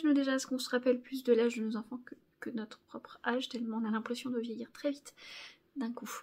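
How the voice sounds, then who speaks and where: Knitting vlogger, woman in her thirties, slightly raised pitch, relaxed chatty delivery full of hesitations and light laughter. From Normandy, France. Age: 30s. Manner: hesitant, many fillers, laughs easily. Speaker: knitting video host. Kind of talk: casual monthly vlog chat.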